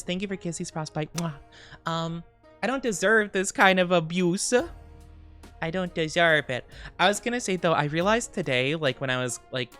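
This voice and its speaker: A woman's voice: fairly low pitch, bright, forceful tone. A woman streamer casually chatting with her viewers from her home streaming room, playful and teasing as she answers chat.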